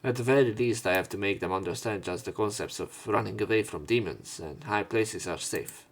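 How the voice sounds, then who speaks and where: A man's voice: fairly low pitch, brisk. A man doing a let's play, reading a visual novel's narration and dialogue aloud with informal, sometimes sweary asides. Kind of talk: narrated reading with offhand commentary.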